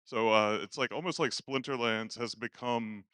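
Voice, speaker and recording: A man with a low voice giving an informal, hesitant talk as a first-time speaker on a conference stage. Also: moderate pace, bright, forceful tone, smooth, no echo, clean studio sound. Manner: hesitant, self-effacing. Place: conference stage at live event. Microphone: handheld stage microphone.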